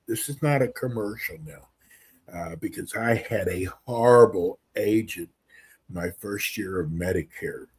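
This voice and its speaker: A man's voice: on the low side, breathy edge. A man in his sixties hosting a casual radio talk show, upbeat and earnest in a folksy way. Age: 60s.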